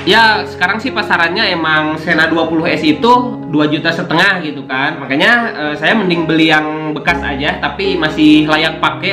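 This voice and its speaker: Young man, high voice, slangy casual vlog chat, echoey room, guitar music behind. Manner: slangy, keeps saying "cuy", many fillers. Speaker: motovlogger YouTuber. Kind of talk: casual unboxing chat to camera.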